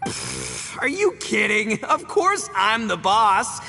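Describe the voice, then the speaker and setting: An actor voicing a boastful, rowdy male oni gang boss in a video game, brash and quick to laugh. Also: high voice, wildly swooping pitch, vocal fry, exaggerated voice. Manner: brash, loud, laughs a lot. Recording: game audio with long reverb.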